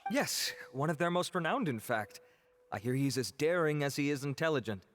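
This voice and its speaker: Courteous, lively-toned official. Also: male voice, fairly high pitch, over loud music. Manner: courteous, measured. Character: polite, composed official, helpful and inquisitive. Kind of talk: video game voice acting.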